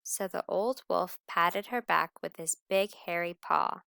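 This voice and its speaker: Female picture-book narrator: expressive storytelling, slow delivery, clean resonant tone. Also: lowish voice, vocal fry, clean tone, no room sound.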